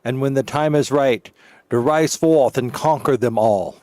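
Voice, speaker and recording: A man's voice: mid-range voice, measured pace, a little mellow, no room sound. A man streaming a game, giving wry, joking and mock-dramatic commentary. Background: game music.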